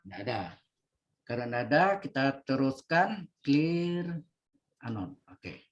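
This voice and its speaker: A man leads an online calculus class. He repeats each step slowly and keeps checking in with his students over the call. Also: medium pitch, big pitch swings, highs cut off. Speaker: lecturer. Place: home, video call. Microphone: computer mic on video call.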